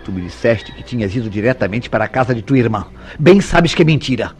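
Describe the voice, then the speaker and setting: A man's voice: medium pitch, expressive, quick pace, lightly acted. An actor playing a suspicious, jealous man in a Brazilian radio soap opera, speaking in formal, insistent, theatrical tones. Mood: accusing. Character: suspicious, jealous man relentlessly interrogating a woman. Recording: radio drama with background music.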